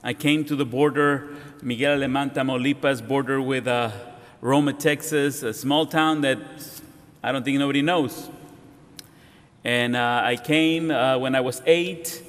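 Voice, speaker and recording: A middle-aged man with a Mexican accent preaches from a church pulpit, stopping and restarting his sentences as he jokes at his own expense. His voice sits at an ordinary middle pitch. A long reverb from the sanctuary trails each phrase. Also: fairly even intonation. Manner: halting, warm, self-deprecating, with frequent restarts. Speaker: guest pastor. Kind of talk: sermon.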